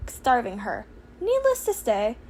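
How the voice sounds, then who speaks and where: A small, chirpy male school principal, acted out by a woman narrator in an audiobook. The voice is very high and speaks in formal, polite phrases. The home recording has clear background noise. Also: wildly swooping pitch, measured pace, a little mellow, exaggerated voice, poor audio. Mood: earnest. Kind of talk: audiobook character voice for fan fiction.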